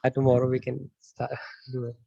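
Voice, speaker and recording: A man's voice: mid-range voice, monotone, fairly quick, gravelly, a crowd in the background. A man hosting an online training session, politely calling on participants and moderating their introductions in an informal way. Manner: polite, addresses people as sir and ma'am. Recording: dull online meeting audio.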